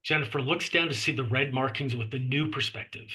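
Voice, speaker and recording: Bright, husky man reading stage directions. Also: mid-range voice, a little fast. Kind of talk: scripted narration at a horror table read.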